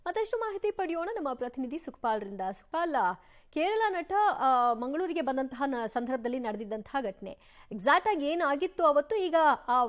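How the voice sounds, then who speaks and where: A woman's voice: sits high, expressive, normal speed. A woman news anchor, presenting live news.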